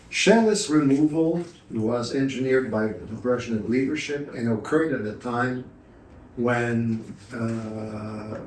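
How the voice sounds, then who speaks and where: A man's voice: mid-range voice, a little mellow. Older male lecturer, Ukrainian accent, a native Ukrainian speaker, off-the-cuff, heard from a distance in a roomy lecture hall with faint hum behind. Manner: unscripted, digressive, with fillers and restarts. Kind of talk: university lecture.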